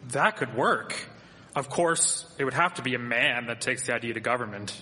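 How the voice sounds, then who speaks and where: Guy playing grumbly old-timey townsman, blunt and bright, higher voice, echoey hall stage play. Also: normal speed. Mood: skeptical. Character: grumbling, skeptical townsman in 1873, fond of parties. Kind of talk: live radio drama acting.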